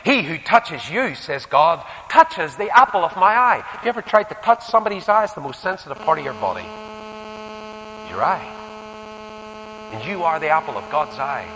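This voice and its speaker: A male preacher with a Northern Irish accent delivers a sermon in a big reverberant hall. His voice is bright and forceful, ranging widely from emphatic peaks down to quieter asides. He often turns to rhetorical questions. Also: on the high side, sing-song, moderate pace, slight background noise. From Northern Ireland. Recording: echoey hall with long reverb.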